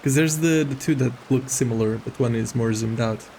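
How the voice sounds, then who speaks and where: A man's voice: medium pitch, brisk, mellow, a little hiss or hum. A man chatting casually as a game stream host, rambling and hesitant, prone to giggling. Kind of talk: casual livestream chat.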